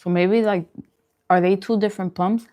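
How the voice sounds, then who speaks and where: A woman's voice: mid-range voice, steady tone, moderate pace, warm tone. A woman hosting a mukbang, chatting casually to viewers between bites with murmured reactions. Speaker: mukbang host. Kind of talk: casual eating chat to viewers.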